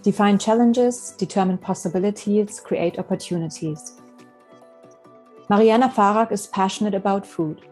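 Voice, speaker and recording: A woman's voice: mid-range voice, soft background music. Woman podcast host. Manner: measured, reads guest intro, then soft affirming mm-hmms. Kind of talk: scripted introduction and interview questions.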